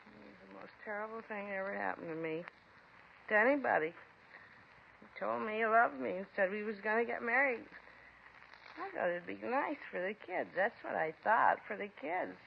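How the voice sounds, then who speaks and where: A woman's voice: normal speed, creaky, a little hiss or hum. A bitter, defiant neglectful mother. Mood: self-pitying. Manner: shrill, accusing, then whining. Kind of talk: 1950s radio drama acting. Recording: dull old radio broadcast.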